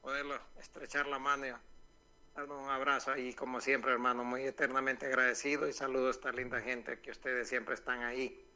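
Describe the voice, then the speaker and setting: Guy calling in on the phone, gravelly voice, goes on about old times. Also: mid-range voice, little pitch movement, brisk. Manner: warm, devout, nostalgic, many fillers. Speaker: phone-in caller. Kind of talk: casual phone chat. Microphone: telephone. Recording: noisy phone line.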